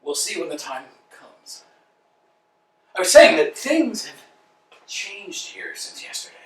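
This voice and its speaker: A man's voice: sits high, sing-song, slow, fairly bright tone, heavily acted. In a very echoey room, off the mic, a man acts out an anxious stage-play tramp. He is insistent and philosophical, forever questioning his companion. His delivery is theatrical and emphatic. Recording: echoey room, distant mic, faint noise.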